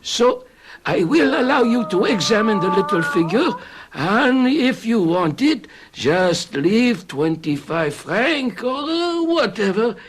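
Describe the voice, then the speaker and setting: An actor playing a nervous, evasive old shopkeeper, halting and full of fillers, in a radio drama. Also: male voice, high voice, big pitch swings, noisy background, exaggerated voice. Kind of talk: 1970s radio drama acting.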